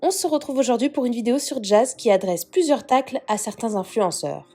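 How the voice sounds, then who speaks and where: Woman doing a celeb news voiceover, smooth and even, soft music under it. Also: a little high, a little fast. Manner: smooth, steady read. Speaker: entertainment news narrator. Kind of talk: scripted voice-over narration.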